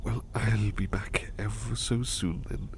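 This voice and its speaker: A man playing a gentle, devoted butler in an intimate ASMR roleplay, speaking politely and tenderly with a hesitant stammer. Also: lowish voice, steady tone, measured pace, noisy background, close to an everyday voice. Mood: tender, whispery. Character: gentle, devoted, flustered male butler, tired and affectionate.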